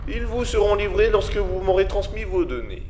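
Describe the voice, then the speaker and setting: An actor playing a cold, scheming older crime boss who speaks formally and condescendingly. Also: male voice, high voice, lively intonation, fairly quick, darkish tone, a little hiss or hum. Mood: commanding. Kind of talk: amateur audio drama.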